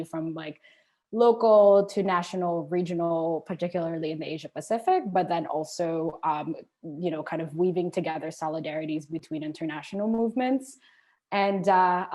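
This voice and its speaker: A young woman from the Philippines speaks in a relaxed, reflective way. She introduces herself as an activist, pausing on small fillers and taking her time. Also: fairly low pitch. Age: young adult. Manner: thoughtful, relaxed, with frequent fillers. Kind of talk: conversational self-introduction.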